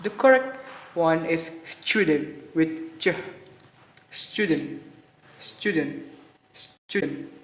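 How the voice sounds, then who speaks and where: A young man with an Indonesian accent giving a halting class presentation on pronunciation, repeating each word several times. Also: fairly high pitch, animated. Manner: halting, repeats words for practice. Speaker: student presenter. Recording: roomy, reverberant with faint ambience.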